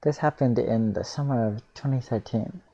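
A man's voice: medium pitch, moderate pace, vocal fry, dark tone, highs cut off. A man giving a casual read-aloud narration of a visual novel.

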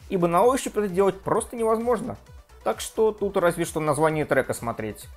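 Lively Russian-speaking tech vlogger man. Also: on the high side. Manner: lively, self-mocking, trips on pronunciation. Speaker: tech video host. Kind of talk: scripted tech review vlog. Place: home room with desk and gear.

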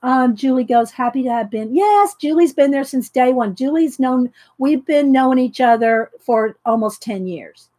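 Middle-aged woman, high voice, loud and chatty craft host, laughs a lot. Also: animated, moderate pace, few pauses, dry recording. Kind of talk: casual live craft chat. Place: home craft room. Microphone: overhead camera pointing down at desk.

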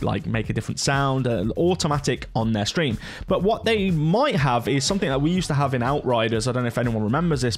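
Middle aged guy doing a chatty gaming tutorial, mid pitch, music behind him. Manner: chatty, informal. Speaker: gaming content creator presenting software. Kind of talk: casual tutorial commentary.

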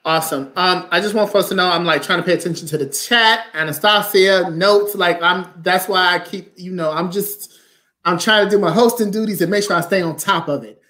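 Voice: medium pitch, a little fast, bright, forceful tone. An American host with an emphatic, preachy delivery, repeating phrases for stress while leading a live online arts talk on a video call. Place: indoor room at home, video call. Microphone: video call mic.

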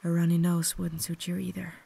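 A woman playing a cultured, caring vampire lady in an intimate ASMR roleplay, whispering softly with refined, gentle phrasing. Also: lowish voice, monotone, brisk. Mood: caring, gracious. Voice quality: soft, breathy whisper. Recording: noticeable background ambience noise.

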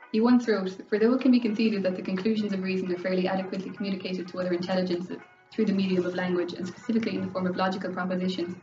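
A woman's voice: medium pitch, darkish tone, muffled recording. A young woman with an Irish accent races through a scripted educational narration. She sounds distant in a roomy space, with music behind her. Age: young adult. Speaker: student presenter. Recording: band-limited, roomy, distant mic, faint music.

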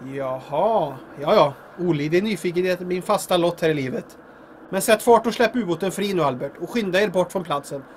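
Loyal sergeant sidekick, high-pitched, wry, florid talker, adventure audio drama. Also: male voice, animated, a little hiss or hum.

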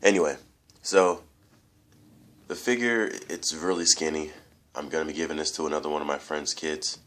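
A young man with an extremely low, deep voice, stuffed up and congested from allergies. He rambles loosely through a home toy review, full of fillers, and keeps calling his viewers "guys.". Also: steady tone, moderate pace, a bit bright. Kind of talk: casual toy review vlog. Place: room at home, indoors.

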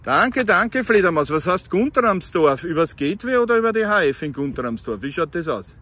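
A man's voice: sits high, sing-song, moderate pace, a bit bright. A man with an Austrian accent chatting casually as a CB radio hobbyist, recorded outdoors. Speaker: amateur CB radio operator filming his meetup. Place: outdoors by a roadside.

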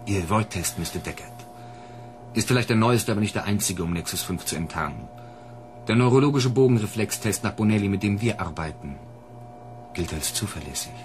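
A man's voice: fairly low pitch, lively intonation, fairly quick, faint music behind, natural voice. An actor playing a dutiful plainclothes policeman in a German radio drama, polite, cool and pointed. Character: dutiful, coolly suspicious male plainclothes policeman.

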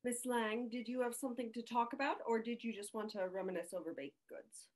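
A woman's voice: fairly high pitch, steady tone, a little fast, a little mellow, natural voice. A woman playing a dry, formal school principal in a sitcom table read. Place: home room on a video call.

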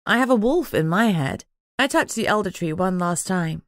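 A woman narrates a fantasy audiobook in first person. Her voice sits on the low side, and the recording is dry with hardly any room around it. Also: animated, normal speed, no echo.

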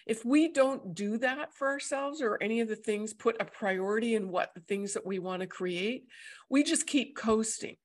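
A woman's voice: on the high side, moderate pace. A middle-aged woman, speaking as a host and coach in conversation on a video call. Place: indoor room, video call.

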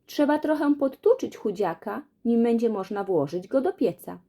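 A woman voicing a sly old witch in a children's fairy tale, coaxing and scheming with a croaky voice. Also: a little high, lively intonation, normal speed, warm tone. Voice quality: hoarse, croaking.

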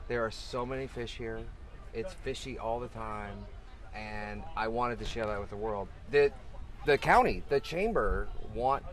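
A middle-aged man with an ordinary mid-range voice talks about his fishing tournament. He is enthusiastic and rambling, often restarting his sentences. He is recorded outdoors on a beach through a camera mic. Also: medium pitch. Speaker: tournament organizer. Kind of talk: casual interview talk. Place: outdoors on a beach, under a cart canopy.

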